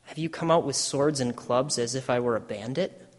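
A man's voice: mid-range voice, moderate pace, close to an everyday voice. A man playing a solemn, grave Jesus in a dramatic reading of scripture. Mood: reproachful. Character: solemn Jesus, grave teacher facing arrest. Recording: echoey church hall, long reverb.

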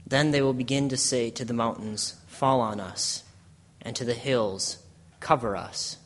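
A man playing a solemn, compassionate condemned holy man in a dramatic scripture reading, declaiming in a measured way, with long hall reverb. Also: mid-range voice, lightly acted. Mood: solemn warning.

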